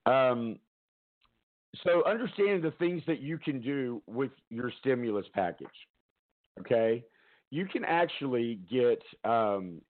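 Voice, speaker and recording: Loud guy rambling on his home stream, headset mic, laughs a lot, sounds like a phone line. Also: mid-range voice. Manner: rambling, chatty, laughs often. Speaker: talk show host. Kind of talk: casual live-stream talk.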